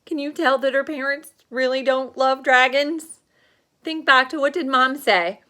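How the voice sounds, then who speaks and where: A woman schoolteacher reading a picture book aloud with animated, dramatic emphasis and encouraging asides to her pupils. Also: sits high, measured pace. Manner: encouraging, checks understanding with questions. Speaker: elementary school teacher.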